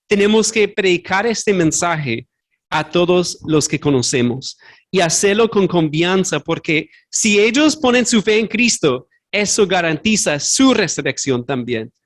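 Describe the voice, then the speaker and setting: A male pastor preaching a sermon in a church, his voice sitting high for a man. He is earnest and direct. Spanish is not his first language, so he sometimes stops to hunt for a word and fixes his own slips aloud. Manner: earnest, searches for words and corrects himself aloud. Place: church sanctuary.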